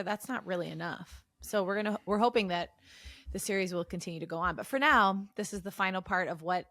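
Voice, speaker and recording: A woman's voice: mid-range voice, a bit bright, no room sound, clean studio sound. A woman co-hosting a podcast in casual chat with a playful, joking manner. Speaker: podcast co-host.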